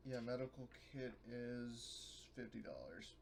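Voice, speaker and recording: A man's voice: mid-range voice, animated, a little slow, gravelly, background noise. A man talking casually as a player in a tabletop roleplaying game.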